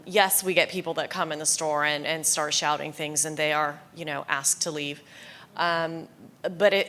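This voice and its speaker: Woman panelist, low voice, halting delivery full of "uh"s; conference room talk. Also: a bit bright. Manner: hesitant, many fillers. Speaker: bookseller panelist.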